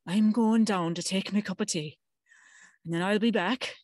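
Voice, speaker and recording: A woman's voice: medium pitch, normal speed, a little mellow, dry recording, studio quality, close to an everyday voice. A woman playing a stern, pious aunt in service, soothing her mistress firmly in a gothic audio drama. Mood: brisk, stern.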